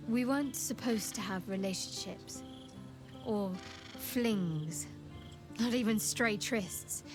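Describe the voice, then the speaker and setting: A woman playing a young cleric of a dark goddess in a video game, devout and guarded, with a sly, teasing, measured way of talking. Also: mid-range voice. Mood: coy, teasing. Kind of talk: video game character dialogue.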